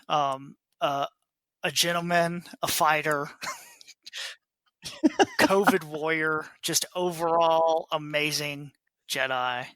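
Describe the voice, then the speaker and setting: A man's voice: on the high side, bright, forceful tone, dry recording. A man co-hosting a podcast in a casual, playful and joking way. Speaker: podcast co-host. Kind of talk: casual book club podcast chat.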